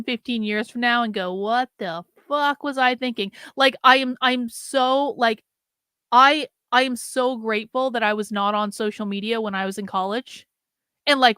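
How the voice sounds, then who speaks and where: A woman with a bright, forceful voice riffs bluntly over a clip, full of "like"s and the occasional curse. Her voice sits a touch high and sounds dry and close, with no room around it. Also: smooth, dry recording. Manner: blunt, rambling asides with frequent "like" and swearing. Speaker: commentary host reacting to a clip. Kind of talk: casual reaction commentary.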